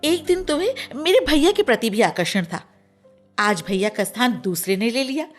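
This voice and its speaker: An actress playing a nosy, scolding young sister in a radio play, expressive and chiding. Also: female voice, on the high side, moderate pace, faint music behind. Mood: teasing, probing. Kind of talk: Hindi radio play acting.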